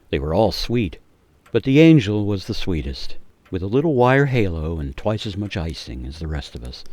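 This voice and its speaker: A man with a low voice narrates a dramatic radio story. His delivery is measured and theatrical, building suspense. The sound is dry, with no room echo. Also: a little mellow.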